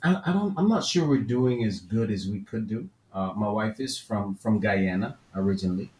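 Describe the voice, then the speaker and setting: Low-voiced man in an echoey room. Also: expressive, moderate pace, a little mellow. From Florida, USA. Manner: thoughtful, searching questions with frequent restarts. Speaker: podcast host. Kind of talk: conversational interview. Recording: echoey room with faint background noise.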